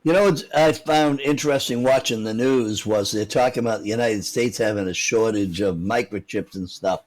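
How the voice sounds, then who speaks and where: An easygoing man chats casually and cracks jokes. His voice sits a little low. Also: normal speed, rough recording. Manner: easygoing, chatty, joking. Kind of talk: casual chat.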